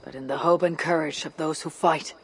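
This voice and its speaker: An actor playing a steadfast, earnest Viking warrior in a video game, speaking in a measured, solemn way. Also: male voice, on the high side, fairly even intonation, fairly bright tone, a little hiss or hum, natural voice, low-quality recording. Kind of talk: dramatic video game acting.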